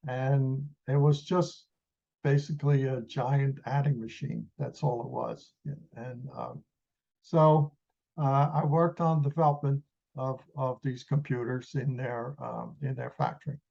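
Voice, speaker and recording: Dark-voiced man in his eighties from the Netherlands. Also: mid-range voice, steady tone, a little slow, creaky, muffled recording. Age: 80s. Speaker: interview guest, retired engineer. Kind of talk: reminiscing in an interview.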